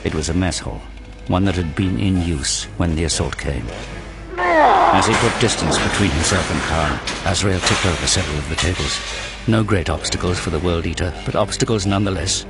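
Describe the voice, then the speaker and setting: A man's voice: deep voice, normal speed, over loud music. A man giving dramatic scripted narration for an audio drama.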